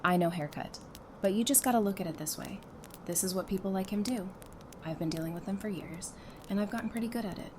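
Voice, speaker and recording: A woman's voice: mid-range voice, fast talker, background noise, lightly acted. A woman playing an affectionate, self-assured young wife in an audio drama, talking in a casual, playful, reassuring way. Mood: confident, reassuring.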